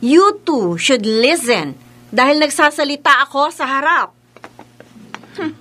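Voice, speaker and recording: A woman's voice: high voice, lively intonation, slow, bright, forceful tone, full character voice. A woman playing a strict female teacher who scolds her students in a radio drama. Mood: stern. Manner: stern, scolding.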